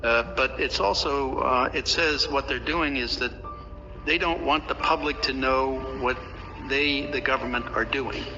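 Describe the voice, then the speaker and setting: Halting man in long reverb over music. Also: medium pitch, sharp tone. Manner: halting, emphatic repetition. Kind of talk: political commentary. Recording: dull, reverberant clip with music.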